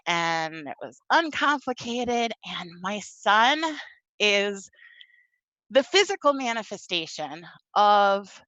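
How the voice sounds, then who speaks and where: A woman's voice: a little high, pushed and bright, dry recording, highs cut off. A woman around forty giving a prepared personal talk in a candid, wry, emphatic conversational way. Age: 30s to 40s. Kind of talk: prepared personal testimony read aloud, conversational.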